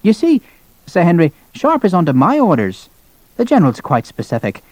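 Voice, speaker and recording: A man's voice: high voice, wildly swooping pitch, normal speed, darkish tone, dry recording, voice pushed up. A man voicing an amiable Irish army captain with a brogue and a charming, reasonable manner, in an audiobook. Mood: soothing, charming. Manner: charming, reasonable, matter-of-fact.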